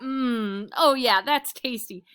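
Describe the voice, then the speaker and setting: A woman voicing an excitable, gleeful male pet dog who loves treats, in a children's storybook read-aloud. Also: fairly high pitch, takes it slowly, smooth. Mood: gleeful, satisfied. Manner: exclamatory, gleeful, boastful.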